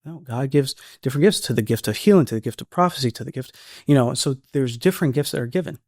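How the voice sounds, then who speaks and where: A man's voice: medium pitch, lively intonation, quick pace, a little mellow, dry recording. Guy on a podcast, earnest, keeps restarting his sentences, dry close sound. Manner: earnest, repeats and restarts words often. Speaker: filmmaker guest. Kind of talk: casual podcast conversation.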